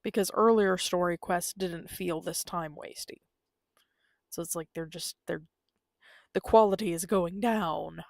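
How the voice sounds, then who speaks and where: A woman's voice: lowish voice, vocal fry, no room sound, studio quality. A woman giving casual, musing live commentary as she streams a video game, with exasperated asides.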